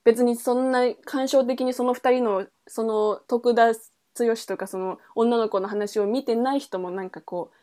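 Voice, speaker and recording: A woman's voice: on the high side, steady tone, measured pace, mellow. A woman podcast host in a casual book chat, thinking aloud in trailing, loosely linked phrases. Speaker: podcast host reviewing a novel.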